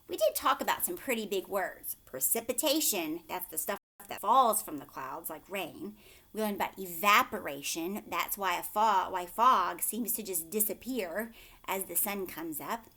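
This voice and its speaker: A woman in her thirties reading aloud and explaining to young children in an expressive way, asking simple questions, recorded at home on a webcam. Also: medium pitch, a little hiss or hum. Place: living room at home, indoors. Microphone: webcam or laptop at arm's length.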